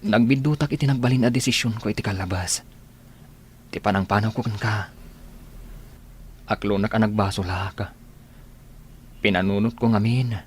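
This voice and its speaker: A man in a radio drama playing a remorseful lover, pleading earnestly and haltingly for a second chance. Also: lowish voice, a little hiss or hum, close to an everyday voice.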